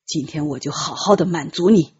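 A smug, lecherous emperor of an audiobook tale, acted out by a woman. The voice drops low and turns husky, teasing and domineering. The recording is a little dull. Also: a little fast, a little mellow. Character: lecherous, smugly confident young male emperor.

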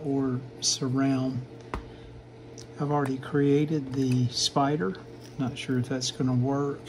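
Unhurried, flat-toned older man. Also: medium pitch, slight background noise, poor audio. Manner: matter-of-fact, halting and unhurried. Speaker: vintage radio repair hobbyist, channel host. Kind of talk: casual hands-on repair narration.

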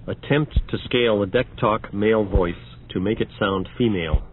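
A man's voice: on the low side, normal speed, husky. A man narrating an audio demonstration, reading scripted announcements. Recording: dull, noisy old record transfer.

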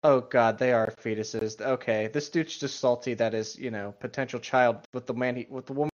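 A man's voice: medium pitch, fairly even intonation, a little fast. A man reading a story aloud casually and breaking off for irreverent, sweary commentary. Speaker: co-host reading stories aloud. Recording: dull, lo-fi microphone.

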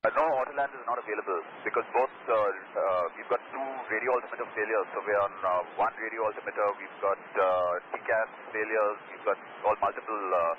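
A man speaking as an airline pilot over aviation radio, in halting, hesitant phrases. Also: fairly low pitch. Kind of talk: radio exchange with air traffic control. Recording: muffled lo-fi cockpit radio, loud static.

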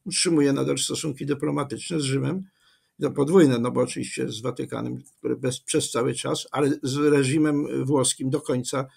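An older man, a history professor, giving long, measured answers with many fillers and self-corrections, speaking from a home study over a webcam video call. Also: mid-range voice, steady tone, gravelly, a little mellow, husky. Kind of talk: lecture-style interview answer.

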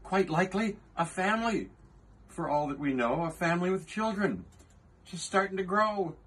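Big gentle storybook elephant, male reader putting it on: sincere, anxious, pleading, raised pitch. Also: moderate pace, breathy edge. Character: earnest, worried, kind-hearted big male elephant. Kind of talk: storybook character reading.

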